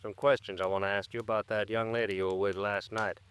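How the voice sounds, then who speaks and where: An actor with an American accent playing a pushy, officious, suspicious police officer in a 1950s radio drama. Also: male voice, fairly low pitch, flat delivery, quick pace, a bit bright, clear, resonant tone, close to an everyday voice. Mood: brusque, suspicious.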